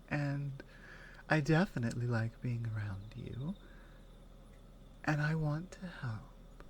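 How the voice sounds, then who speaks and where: A woman playing a strong, caring Nordic warrior companion in an intimate ASMR roleplay, speaking in halting phrases that often drop toward a whisper. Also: sits low, a little mellow, slight background noise, exaggerated voice. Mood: tender, caring. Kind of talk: ASMR roleplay, intimate one-sided dialogue.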